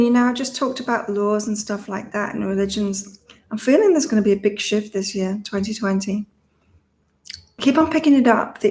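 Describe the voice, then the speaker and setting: Middle-aged British-born woman, psychic livestream host, monotone, loose and repetitive. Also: medium pitch. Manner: rambling, thinks aloud, repeats herself. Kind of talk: casual live chat reading viewer comments.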